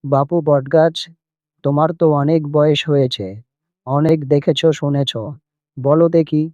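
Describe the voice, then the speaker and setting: A man's voice: on the high side, level tone, measured pace, mellow, no echo, natural voice. A man playing a kindly, aggrieved holy man in a cartoon fable, earnest and pleading, in slightly dull audio.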